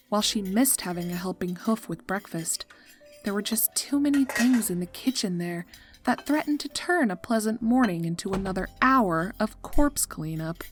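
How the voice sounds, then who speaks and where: A woman reading scripted story narration as the narrator. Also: medium pitch. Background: music.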